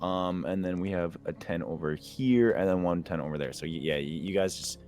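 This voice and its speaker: A man's voice: sits low, a little fast, darkish tone, lightly acted. A man playing an eager, happy-go-lucky bard in an improvised fantasy game roleplay, talking casually. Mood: helpful. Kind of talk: improvised Minecraft roleplay acting.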